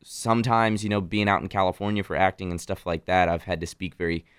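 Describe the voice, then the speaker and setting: A man's voice: low voice, fast, clear, resonant tone, dry recording. A nineteen-year-old man from Nashville with a mixed Southern and Midwestern accent, chatting as a guest in a radio studio. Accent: mix of Southern and Midwestern American. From Nashville, Tennessee. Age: young adult. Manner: friendly, animated storytelling with restarts. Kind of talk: casual radio interview chat.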